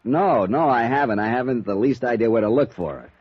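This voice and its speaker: An actor playing a worried, insistent insurance broker, urgent and emphatic, in 1950s radio drama style. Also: male voice, medium pitch, a little fast, dry recording, lightly acted. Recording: muffled, roughened vintage radio recording.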